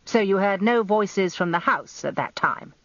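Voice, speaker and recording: A woman's voice: mid-range voice, lively intonation, unhurried, a bit bright, no room sound, dull audio, voice pushed up. A British woman voicing a blustering, combative older male defence barrister with theatrical courtesy in a radio story. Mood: combative, probing. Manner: growling, elaborately courteous, theatrical cross-examiner.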